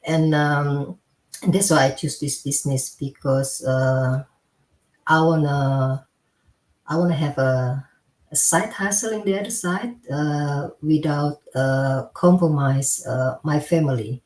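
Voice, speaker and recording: Woman, from indonesia, english, deep voice, hesitant, echoey room. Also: takes it slowly, a little mellow. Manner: hesitant, many fillers and restarts. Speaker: interview guest, caregiver. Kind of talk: casual interview chat.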